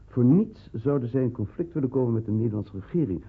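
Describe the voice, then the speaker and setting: An actor playing a courteous, grave consulate official in a radio drama, speaking formally and with measured emphasis. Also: male voice, mid-range voice, lively intonation, slightly rough. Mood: grave, insistent. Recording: muffled, phone-like old radio recording.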